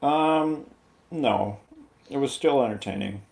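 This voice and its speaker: A man giving his opinion in a casual film review chat, hesitant and measured as he weighs his verdict. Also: medium pitch, creaky, darkish tone. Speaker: guest movie reviewer.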